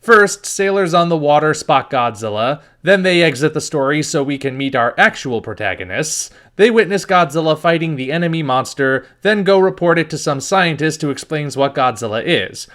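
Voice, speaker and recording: A man's voice: a little high, animated, normal speed, hardly any pauses, fairly bright tone. A man hosting a video review, reading scripted narration with wry, dry asides.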